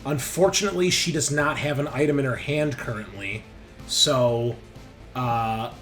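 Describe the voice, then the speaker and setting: A man's voice: mid-range voice, a little slow, fairly bright tone. Man running a tabletop game over music. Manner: explanatory, narrating action and rules. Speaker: game master. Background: background music.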